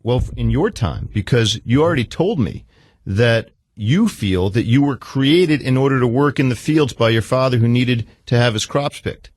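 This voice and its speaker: A man interviewing in a therapy style, deliberate and analytical, pausing as he offers interpretations. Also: medium pitch, lively intonation, a little mellow, no room sound. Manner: measured, deliberate, analytical, with halting pauses. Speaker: psychologist interviewer.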